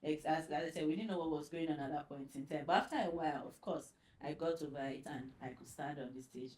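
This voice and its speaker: A woman doctor with a deep voice, talking on a panel and sharing a personal story in a storytelling manner. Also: slightly rough. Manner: warm storytelling, quick to laugh. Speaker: pediatric doctor and guest. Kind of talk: panel chat with personal anecdote.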